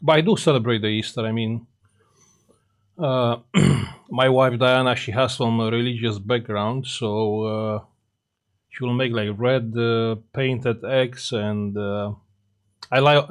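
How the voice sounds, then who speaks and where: A man from Romania with a Romanian accent, speaking English as a second language and hosting a casual live stream. He pauses often on fillers while greeting viewers and answering their comments. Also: mid-range voice, fairly even intonation.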